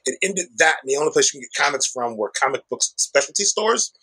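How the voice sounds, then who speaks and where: Husky middle-aged man, chatty. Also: mid-range voice, expressive, fast talker, sharp tone, poor audio. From Chicago, USA. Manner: chatty, explanatory, peppers speech with "like" and "right?". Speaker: comic shop owner, guest. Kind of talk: conversational podcast interview.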